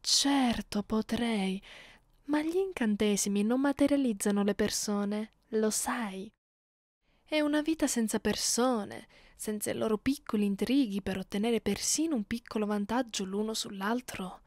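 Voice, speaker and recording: A woman voicing a haughty, cruel, centuries-old vampire mistress in an ASMR roleplay, imperious and taunting in a measured way. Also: fairly high pitch, a little mellow, dry recording, studio quality, close to an everyday voice. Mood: condescending.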